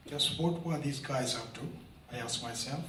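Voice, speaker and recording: A man's voice: mid-range voice, normal speed, a little hiss or hum. A man in his forties from South Sudan, introducing himself hesitantly and then reading a short story aloud. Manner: flat, hesitant speech with many "uh"s. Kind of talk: public reading of prose. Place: indoor event room. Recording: roomy hall, distant mic.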